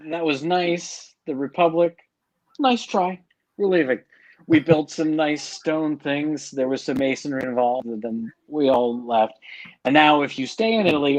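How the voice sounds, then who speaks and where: A man's voice: medium pitch, low-quality recording. A man from New Jersey with no accent to speak of, telling jokey stories in a casual chat among friends. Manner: joking storyteller, wry asides. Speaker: podcast co-host.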